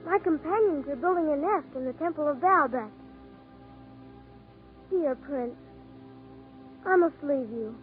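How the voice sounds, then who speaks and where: High-voiced gentle little swallow. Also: female voice, expressive, unhurried, long pauses, narrow, tinny audio. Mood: tender, devoted. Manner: formal, poetic phrasing. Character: small, devoted, gentle swallow, wistful storyteller. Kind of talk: 1940s radio acting. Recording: 1940s radio broadcast with music.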